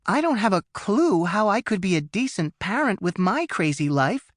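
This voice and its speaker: A man's voice: high-pitched, big pitch swings, normal speed, a bit bright, no echo, clean studio sound, pitched above the performer's own voice. A male narrator voicing a stressed young adult daughter who talks in an earnest, worried way, in an audiobook. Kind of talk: audiobook character voice.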